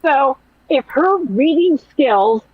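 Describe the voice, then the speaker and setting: High nasal-voiced woman podcaster. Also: slow pace, dry recording, phone-quality sound. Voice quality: nasal, whiny. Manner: blunt, profane, animated. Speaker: podcast host. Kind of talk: casual commentary chat.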